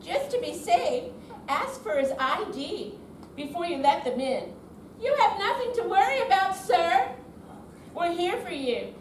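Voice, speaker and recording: A high-voiced female swindler, read onstage by a woman speaks with official, glib reassurance as a fake bank caller. It is an amateur theater reading, heard from a distance in an echoey room with faint noise. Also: very animated, unhurried, exaggerated voice. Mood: soothing, confiding. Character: smooth, reassuring woman con artist posing as bank caller. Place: indoor senior center performance room.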